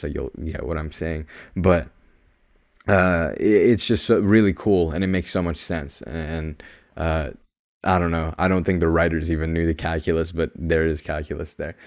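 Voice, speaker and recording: A young man with a deep, projected voice giving a casual podcast monologue in an emphatic, matter-of-fact, know-it-all manner. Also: steady tone, moderate pace. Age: young adult. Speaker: podcast host.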